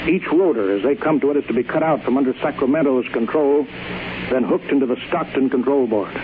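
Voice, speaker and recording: An actor playing a harried, commanding chief engineer who barks brisk orders in an old-time radio drama. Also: male voice, mid-range voice, natural voice. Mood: grim resolve. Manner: brisk, authoritative, urgent, barking orders. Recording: noisy, muffled old radio broadcast.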